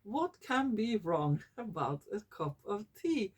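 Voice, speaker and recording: A Norwegian woman vlogging in English, her second language, often pausing to search for words and correcting herself. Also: mid-range voice, very animated, husky. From Norway. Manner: slow and searching for English words, many fillers and self-corrections. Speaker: YouTuber. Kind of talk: casual talking-head vlog.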